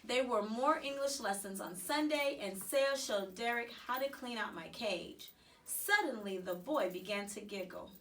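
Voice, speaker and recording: A woman reading a children's story aloud in an expressive, animated way with dramatic emphasis. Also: fairly high pitch, measured pace, distant mic. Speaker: storybook reader.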